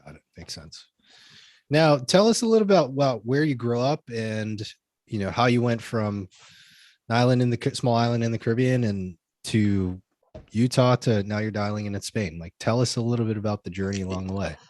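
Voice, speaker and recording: A man's voice: mid-range voice, a little fast, darkish tone. A man co-hosting a podcast who asks loose, rambling interview questions and strings together lists of examples.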